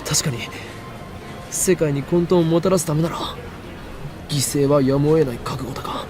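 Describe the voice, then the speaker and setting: A man's voice: on the high side, quick pace, over music, natural voice. A man acting a pompous young mad scientist. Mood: theatrical outrage. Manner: theatrical, pompous monologue. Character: grandiose, paranoid young male self-styled mad scientist. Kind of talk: Japanese anime game voice acting.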